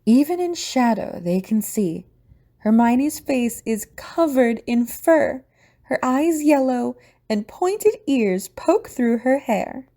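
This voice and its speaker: A woman with a husky voice reading scripted audio drama narration as the narrator and host. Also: sits high, animated, takes it slowly, mellow.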